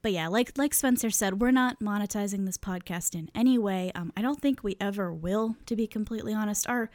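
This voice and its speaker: A woman's voice: mid-range voice, brisk, darkish tone. A young woman co-hosting a podcast, chatting casually with lots of fillers and laughs, swearing freely. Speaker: podcast co-host.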